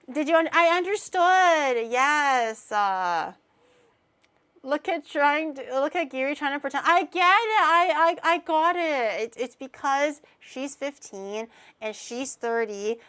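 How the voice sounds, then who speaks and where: A woman's voice: high-pitched, fairly bright tone, clean tone. A woman in her twenties streaming, chatting casually while reacting to clips, rambling, self-mocking and laughing often. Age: 20s.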